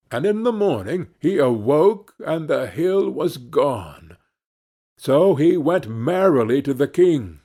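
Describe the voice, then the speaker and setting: A male audiobook narrator tells a fairy tale expressively. His pitch rises and falls in a lively way, the pace is unhurried, and there is some gravel in the tone.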